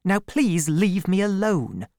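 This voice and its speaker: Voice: mid-range voice, very animated, slow, a little mellow, voice pushed up. A reader voicing a proud, fiery young woman farmer with emphatic, emotional delivery in a storybook. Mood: angry, distressed.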